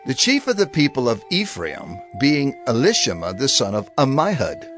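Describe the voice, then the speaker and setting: Animated male scripture reader, faint music. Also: medium pitch, moderate pace. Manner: formal, proclaiming. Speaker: narrator.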